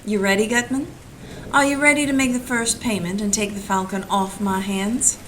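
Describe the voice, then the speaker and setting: A woman's voice: mid-range voice, steady tone, normal speed, a bit bright, close to an everyday voice. A tough, wisecracking lady detective, voiced by a woman, speaks bluntly in hard-boiled style. Her voice sits mid-range. A faint hiss lies under the radio play. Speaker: actor in community audio drama.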